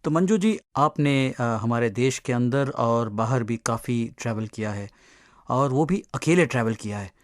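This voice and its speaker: A male radio interviewer with a mid-pitched voice and a dry, close sound. He puts his questions politely and conversationally. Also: expressive, measured pace, no room sound, soft background music.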